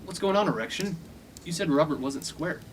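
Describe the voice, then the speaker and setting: An actor playing a bullying, dim young fraternity boss in a comedic radio play, blunt and domineering. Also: male voice, on the high side, expressive, brisk, a little hiss or hum, natural voice, low-quality recording. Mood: suspicious.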